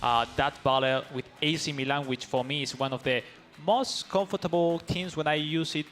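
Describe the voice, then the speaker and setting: A man's voice: fairly high pitch, steady tone, fairly bright tone, faint music behind. A man whose first language is Spanish, giving live esports analysis in eager, non-native English. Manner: eager, non-native phrasing, quick rising calls during play.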